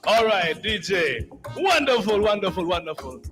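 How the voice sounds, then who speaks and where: A man with a Ugandan accent, emceeing a conference from a stage mic in an energetic, crowd-rousing way. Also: sits high, moderate pace, faint music behind. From northern Uganda. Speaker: conference master of ceremonies. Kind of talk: lively emcee hosting a conference. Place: large conference hall.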